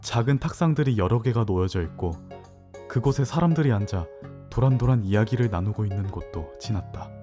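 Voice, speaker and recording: A man acting in an audio drama as a hesitant, introspective newcomer, narrating in a soft, reflective way. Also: on the low side, lively intonation, fairly quick, music in the background, natural voice.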